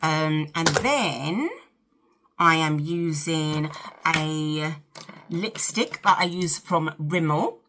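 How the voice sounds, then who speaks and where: A woman's voice: deep voice, extremely slow, background noise. A woman in her fifties with a South London accent, chatting casually and directly to her viewers as she hosts a get-ready-with-me vlog. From South London, England. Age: 50s. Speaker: vlog host. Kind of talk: casual chat to camera during a get-ready-with-me.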